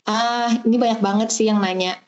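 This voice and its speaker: A woman's voice: on the high side, fairly even intonation, normal speed, a little mellow, lo-fi sound, slight background noise. A young woman from Bandung, a podcast guest, chatting casually and explaining things step by step with many hesitations over a remote call. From Bandung, Indonesia. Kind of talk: casual chat.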